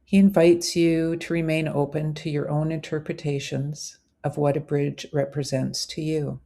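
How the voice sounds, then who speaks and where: A woman narrating a scripted guided visualization as a meditation host, in measured, deliberate phrases. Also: sits low, level tone, mellow.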